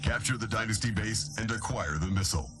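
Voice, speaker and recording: An actor playing a composed male intelligence adviser in a strategy game, giving mission briefings in a measured, formal manner. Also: lowish voice, music in the background, lightly acted. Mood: measured, serious.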